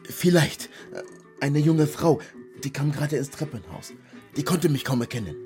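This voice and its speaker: An actor playing an anxious, evasive young Ghanaian man in a radio drama, speaking haltingly and naturalistically. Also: fairly high pitch, over music, lightly acted. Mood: tense. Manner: hesitant, halting.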